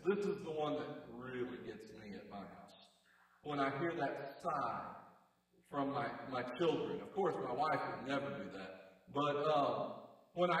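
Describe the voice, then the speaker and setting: A married man preaching a sermon to a congregation with a big, low voice, conversational and dryly joking. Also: fairly bright tone. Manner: conversational, wry asides to the congregation. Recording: echoey hall, distant mic.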